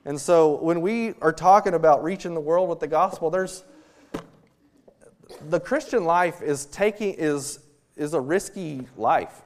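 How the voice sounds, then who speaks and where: A young man with a high-pitched voice preaches a sermon with lively energy. He acts out small scenes and throws in jokes as he speaks to the congregation. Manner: animated, acts out quotes with humor. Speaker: missionary preacher.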